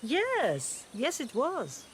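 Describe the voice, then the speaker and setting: A woman's voice: high voice, huge pitch swings, extremely slow, heavily acted. A haughty socialite in a video game, her intonation swinging widely over faint background noise. She sounds condescending and sardonic. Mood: mocking. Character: haughty, mocking female socialite. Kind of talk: video game character acting.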